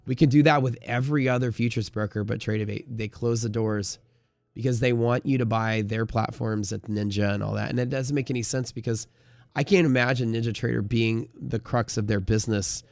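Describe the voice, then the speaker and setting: A man's voice: medium pitch, fairly even intonation, brisk, vocal fry, a little mellow, no room sound. Casual, emphatic man chatting on a livestream. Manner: informal, emphatic, slangy, conversational. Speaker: livestream host and trading community leader. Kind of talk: casual livestream chat, answering viewers and giving opinions.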